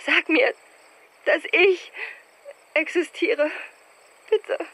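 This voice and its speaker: An actress in a radio drama playing a fragile, lonely young film star with a breathy, halting, pleading way of speaking. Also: female voice, very high voice, a bit bright, exaggerated voice, low-quality recording. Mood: desperate pleading.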